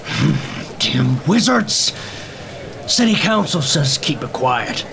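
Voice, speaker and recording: A man's voice: fairly high pitch, big pitch swings, slow pace, creaky, background noise, exaggerated voice. A man voicing a harried police captain in a noir radio play, grudging and curt. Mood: irritated.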